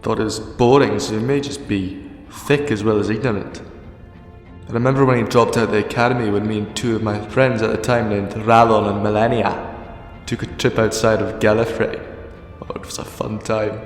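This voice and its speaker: A man's voice: lowish voice, fairly even intonation, moderate pace, lightly acted. A man playing a defiant, imprisoned time-travelling alien hero in a fan audio drama, wry and resolute. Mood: reflective. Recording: echoey, long reverb, music underneath.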